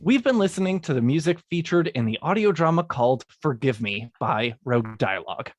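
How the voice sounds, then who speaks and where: A man who is a guest composer, talking in a hesitant, halting way and calling himself mealy-mouthed. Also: medium pitch, animated, dry recording. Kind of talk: casual chat and reading an outro.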